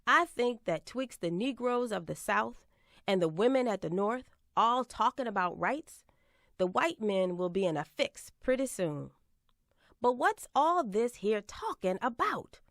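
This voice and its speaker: Fierce plainspoken older woman orator , read by a woman; high, lively pitch, slow deliberate delivery, dry close sound. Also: no echo. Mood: defiant. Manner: folksy rhetorical questions, rising to emphatic refrains. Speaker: reader performing a historical speech. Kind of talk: dramatic recitation of an 1851 speech.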